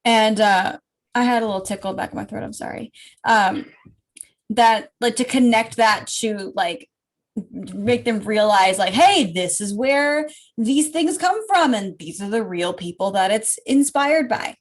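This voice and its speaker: A woman's voice: mid-range voice, expressive, unhurried. A woman co-hosting a podcast in casual chat, rambling with many fillers and restarts. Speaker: podcast co-host.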